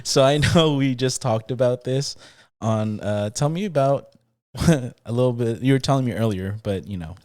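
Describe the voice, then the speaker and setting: A man's voice: mid-range voice, moderate pace, darkish tone, no echo, clean studio sound. A man hosting a casual podcast chat who asks questions and laughs often. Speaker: podcast host.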